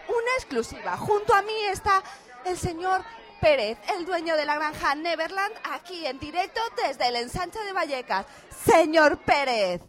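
A woman acts an excitable on-the-scene radio reporter in a comic drama. She has an extremely high voice and a flustered, exclaiming, stammering delivery that keeps repeating itself. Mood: excited. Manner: breathless exclamations, stammering, exasperated repetition. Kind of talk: radio theatre parody of live news report.

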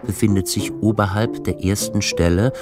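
A man narrating a literary radio play in a measured, matter-of-fact way. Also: lowish voice, steady tone, over music, lightly acted.

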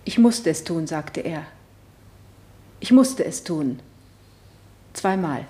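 A woman's voice: low-pitched, lively intonation, takes it slowly, warm tone. A woman playing a shaken wife on the witness stand in a 1970s German radio drama. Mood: strained, subdued. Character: shaken wife testifying at her husband's murder trial. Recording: roomy radio play studio sound.

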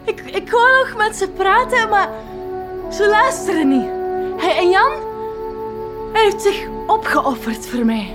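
A woman's voice: extremely high pitch, lively intonation, unhurried, long pauses. An actress playing a distraught village woman with a Flemish accent in a radio drama, dramatic and emotional. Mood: shocked, distraught. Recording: music behind the voice.

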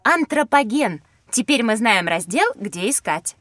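An actress playing a polite, inquisitive school-age child, earnest and courteous, in an educational audio drama. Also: female voice, a little high, sing-song, a little slow, a bit bright, no echo, studio quality, soft background music. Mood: interested.

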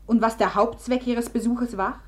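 An actress plays a proud, wounded woman in a German radio play. Her fairly high voice swings from hushed, halting repetition to imperious command. She is volatile and taunts her rival theatrically. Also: steady tone, close to an everyday voice. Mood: scornful, bitter. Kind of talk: German radio drama acting.

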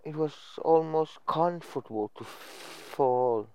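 Slow, mellow man chatting casually. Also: on the high side, clean tone, no room sound. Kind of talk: casual chat while hiking.

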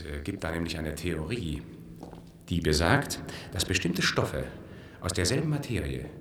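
Deep-voiced, deliberate sound experimenter. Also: male voice, creaky, fairly bright tone, roomy sound, a little hiss or hum, full character voice. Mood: explanatory. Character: male sound experimenter, erudite, eerily keen on demonstrations. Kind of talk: German science-fiction radio play dialogue.

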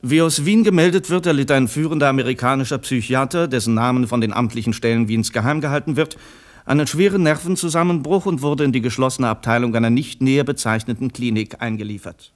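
An official male announcer played by an actor reads news agency reports in a radio drama. His delivery is formal and factual, at a middling pitch. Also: fairly even intonation, quick pace, natural voice.

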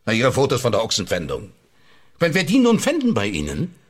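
A man's voice: medium pitch, wildly swooping pitch, a little fast, breathy edge. An actor playing a hard-nosed, condescending newspaper publisher who threatens his employee in a German radio drama. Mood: indignant, threatening. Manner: condescending, domineering.

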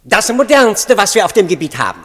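A man's voice: sits high, very animated, fairly quick, fairly bright tone, full character voice. An actor playing a smug, matter-of-fact clinic attendant proud of his brutal science in a German radio drama. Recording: long hall reverb.

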